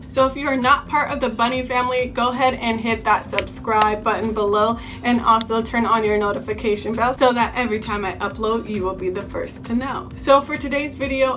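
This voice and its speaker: A young woman from California's Bay Area, a beauty vlogger, chatting through a story-time video and often repeating herself. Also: on the high side. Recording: music playing underneath.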